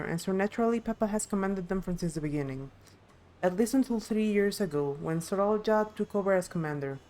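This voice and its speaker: A woman's voice: mid-range voice, darkish tone, lightly acted. A woman voicing a proud, eager young princess who guides visitors in a video game, earnest and explanatory. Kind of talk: live video game dialogue reading.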